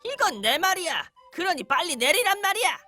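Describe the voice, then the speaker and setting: A woman's voice: very high-pitched, big pitch swings, fairly quick, exaggerated voice. A woman voicing a sly, lame male beggar in a children's storybook drama, fawning at first and then rudely demanding. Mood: brazen. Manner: fawning pleading turning to rude demands. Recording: soft background music.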